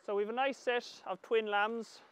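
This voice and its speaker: A middle-aged man with an Irish accent giving calm, measured hands-on instructional commentary as an agriculture professor. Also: sits high, slight background noise. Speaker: agriculture professor demonstrating lambing. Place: large open livestock shed with straw pens.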